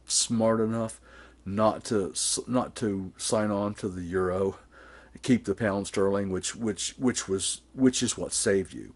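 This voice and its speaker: Man, sixties, low pitch, husky, rambling, blunt, profane, camera at arm's length, small garage workshop. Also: a little mellow. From born and raised in Germany. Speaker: vlogger. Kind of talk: casual rambling monologue to camera. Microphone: camera held close at arm's length.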